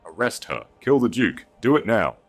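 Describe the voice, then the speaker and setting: Storybook usurper queen acted by a man, furious and commanding, lifted above the narrator's deep register. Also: sing-song, moderate pace, soft background music. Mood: furious, desperate. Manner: commanding, frantic outbursts. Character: furious, imperious usurper queen, shrieking villainess.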